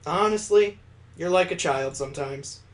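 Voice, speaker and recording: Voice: mid-range voice, moderate pace, natural voice. A let's-player acts out a weary detective father from a visual novel. He is grave and stern, with a condescending edge toward his son. The voice stays in a middle register.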